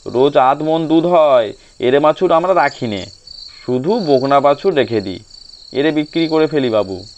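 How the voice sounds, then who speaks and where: A man's voice: on the high side, expressive, unhurried, a little mellow, muffled recording, slight background noise. A man voicing a talkative, eager and deferential rural milkman in a storybook reading. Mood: chatty. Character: chatty nervous rural male milkman, middle-aged.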